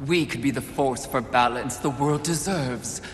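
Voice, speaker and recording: A performer playing a haughty, persuasive sin demon in a video game, speaking in a measured, grandiose way. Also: female voice, rumbling low. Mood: seductive, grand. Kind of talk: video game villain acting. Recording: echoey hall, faint noise behind.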